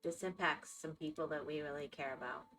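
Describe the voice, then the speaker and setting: A woman's voice: low-pitched, fairly even intonation, brisk, a bit bright. A woman with a Florida accent, chatting casually with viewers as a live streamer and reacting to their comments. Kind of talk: casual chat while gaming.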